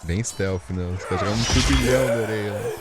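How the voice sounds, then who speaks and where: A man's voice: lowish voice, fast talker. A Brazilian Portuguese-speaking man from Brazil giving casual reaction commentary as a gaming streamer. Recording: loud game music and crowd sound behind.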